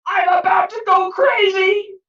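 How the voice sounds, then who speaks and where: A man's voice: a little high, animated, drawn-out words, fairly bright tone, heavily acted. A man voicing a fretful grown villager who is fed up with the noise in his house and complains in a raised, wailing voice. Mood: exasperated. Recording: echoey, distant, dull sound.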